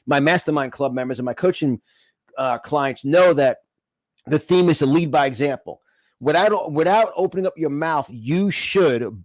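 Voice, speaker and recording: A man's voice: on the high side, dry recording. A man with a New York accent giving an emphatic, exhortative motivational talk, repeating phrases to drive them home. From New York, United States. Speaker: motivational speaker and coach.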